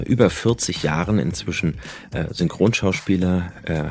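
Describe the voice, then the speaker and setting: A man in his forties, a dubbing actor and dialogue director, introducing himself in a halting way with frequent filler sounds. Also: low-pitched, a little mellow. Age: 40s. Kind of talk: self-introduction to camera.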